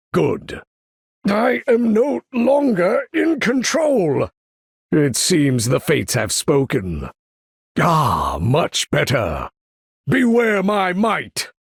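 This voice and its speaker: A male actor playing a proud, imperious older supervillain commander in a video game, with a gravelly, husky voice and grandiose, menacing, formal delivery. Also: fairly high pitch, dry recording, studio quality, full character voice. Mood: cold disdain, then booming fury, then solemn assurance. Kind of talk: theatrical video game character voice lines.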